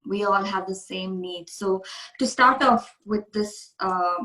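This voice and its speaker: A woman's voice: mid-range voice, takes it slowly, bright, forceful tone, roomy sound, rough recording. A woman from India hosting a casual interview, speaking earnestly and asking questions. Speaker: coach, interview host.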